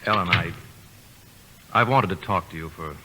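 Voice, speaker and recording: An actor playing a sincere, halting young college suitor in an old radio drama. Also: male voice, low voice, sharp tone, slight background noise, poor audio. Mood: earnest. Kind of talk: 1950s radio drama acting.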